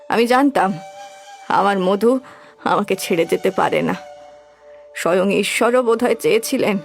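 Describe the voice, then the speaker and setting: A woman's voice: mid-range voice, vocal fry. Woman acting a desperate grieving mother, music behind. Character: desperate grieving village mother using dark rites. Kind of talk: Bengali horror cartoon dramatic acting. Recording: background music throughout.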